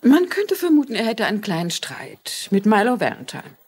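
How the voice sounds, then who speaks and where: A woman's voice: medium pitch, wildly swooping pitch, moderate pace, a little mellow, husky. An actress playing a courteous, inquisitive older woman amateur detective in a dubbed TV crime drama. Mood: probing. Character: shrewd, polite older female amateur sleuth.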